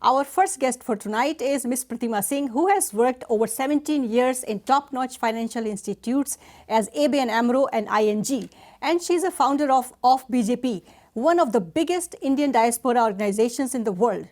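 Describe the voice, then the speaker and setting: A woman's voice: fairly high pitch, lively intonation, moderate pace, a little mellow. A woman hosting a talk show, formally introducing her guest and reading out questions.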